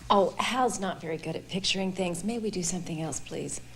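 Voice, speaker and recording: A woman's voice: on the low side, brisk, close to an everyday voice. An actress playing a polite, over-explaining wife who covers for her husband in a comedy film. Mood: pleading.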